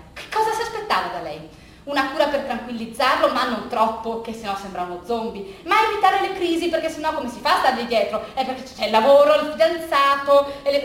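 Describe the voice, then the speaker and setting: A woman performing a theatrical monologue, telling a story dramatically with halting repetitions. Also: high-pitched, a bit bright. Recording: very echoey room with faint noise.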